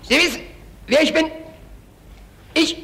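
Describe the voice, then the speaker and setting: A man's voice: extremely high pitch, measured pace, pitched above the performer's own voice, full character voice. An actor voicing a domineering, menacing male SS officer who barks his words in a radio drama. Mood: barking, threatening. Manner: shouting, barking, menacing. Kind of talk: quoted figure in radio drama testimony. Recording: reverberant hall with faint noise.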